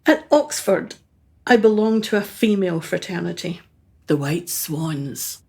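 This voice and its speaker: A woman's voice: on the low side, lively intonation, unhurried, vocal fry, darkish tone. A woman acts out a self-absorbed society mother for a radio play. She is imperious and brusque, speaking in short, clipped phrases with upper-class hauteur. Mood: haughty.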